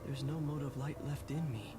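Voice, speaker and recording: An actor playing a brooding, guilt-ridden young knight in a video game, solemn and halting. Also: male voice, medium pitch, fairly even intonation, loud music behind the voice, close to an everyday voice. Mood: remorseful. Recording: noisy game ambience behind.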